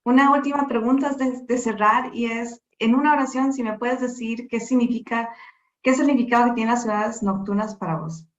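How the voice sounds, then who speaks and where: A woman acts as the interviewer, speaking to her guest in a fairly high voice. The sound is muffled and lo-fi, with a good deal of room echo. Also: steady tone, brisk. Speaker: interviewer, moderator. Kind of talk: spoken interview questions. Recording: dull, echoey lo-fi audio.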